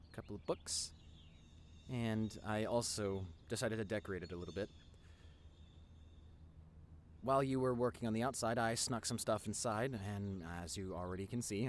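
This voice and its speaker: A man voicing a nerdy young boyfriend in an intimate roleplay audio. His pitch swings widely as he stammers eagerly, affectionate and teasing, talking one-on-one to his girlfriend. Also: a little hiss or hum, close to an everyday voice. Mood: cozy, delighted.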